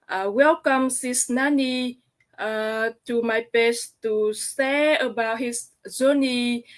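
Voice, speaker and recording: A woman from Vietnam hosting a live video interview, speaking hesitantly with many fillers. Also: on the high side. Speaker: host.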